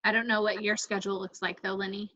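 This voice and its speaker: A woman's voice: medium pitch, little pitch movement, fast talker, very noisy. A woman coordinating a group in casual video-call chat, tentative and accommodating, with muffled call audio. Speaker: event coordinator.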